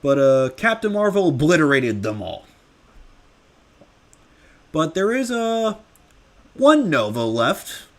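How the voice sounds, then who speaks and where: A young man answers fan questions from his home setup, drawling slowly through his replies and padding them with fillers. His pitch sits a bit high and jumps around a lot, giving the rambling an animated lilt. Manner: rambling with many fillers, offhand. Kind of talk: casual live Q&A.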